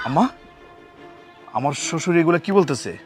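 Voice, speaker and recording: A man's voice: on the high side, expressive, moderate pace, faint music behind, lightly acted. A man playing an earnest young husband in a village TV drama, speaking with a rural Bangladeshi accent. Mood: concerned, firm. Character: earnest young husband back from working abroad.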